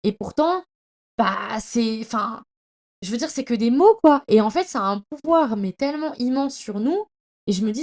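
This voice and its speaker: A young woman chatting casually as a podcast host, in a slangy, effusive and emphatic way, often halting and stumbling over her words. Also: fairly high pitch, mellow. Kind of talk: casual, chatty monologue about reading.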